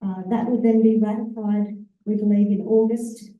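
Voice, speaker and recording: A woman, an executive officer, giving a relaxed conference presentation with fillers and self-corrections. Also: medium pitch, moderate pace, narrow, tinny audio. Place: large conference hall. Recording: echoey hall, voice far from the mic.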